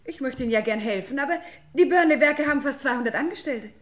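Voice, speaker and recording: A timid, anxious female employee from a radio crime play. Her voice is fairly high, and she speaks hesitantly and apologetically. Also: lively intonation, fast talker. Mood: uncertain. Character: timid, anxious young-lady employee, reluctant to accuse.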